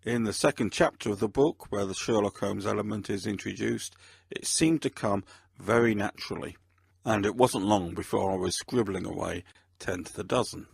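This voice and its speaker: A man speaking as an author and host, talking reflectively about his own books in a measured, deliberate, gravelly and husky voice. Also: on the low side, dry recording.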